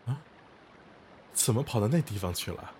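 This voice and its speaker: A gentle male storehouse keeper, voiced by an actor, speaks calmly and indulgently. His voice sits low. Faint stream-like ambience runs behind this fantasy drama. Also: moderate pace, slight background noise, lightly acted. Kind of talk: fantasy audio drama acting.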